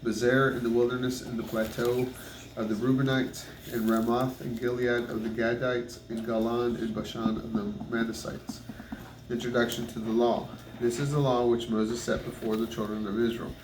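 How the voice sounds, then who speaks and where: A man reading the Bible aloud in measured phrases, occasionally stumbling over words. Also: mid-range voice, little pitch movement. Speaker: scripture reader. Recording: roomy, distant mic, noisy background.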